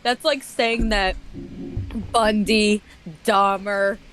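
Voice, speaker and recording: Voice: medium pitch, fairly even intonation, extremely slow, fairly bright tone, faint music behind. A co-commentator giving casual, critical commentary in a group chat.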